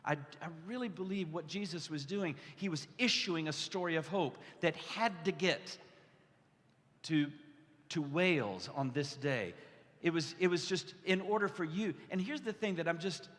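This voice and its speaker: A man with a slightly high voice gives an earnest testimonial sermon. He talks conversationally, often restarting or repeating a phrase for weight. His words ring out with long reverb in a large hall. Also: normal speed, fairly bright tone. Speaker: guest preacher. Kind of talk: testimonial sermon to a live gathering. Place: large indoor hall, addressing a gathering.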